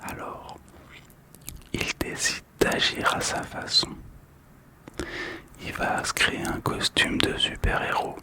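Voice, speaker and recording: Whispering man, slow ASMR storyteller. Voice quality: breathy, unvoiced whisper. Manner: soft, slow, calm. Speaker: host of a relaxation channel about collectible cards.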